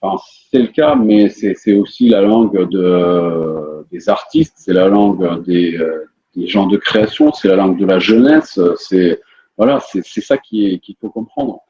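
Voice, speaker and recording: Ukrainian man, native Ukrainian speaker, French interview, low-pitched, halting with frequent fillers, slightly muffled recording. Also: warm tone. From western Ukraine. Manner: hesitant, many fillers and restarts. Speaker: interview guest, musician. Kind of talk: casual interview answers.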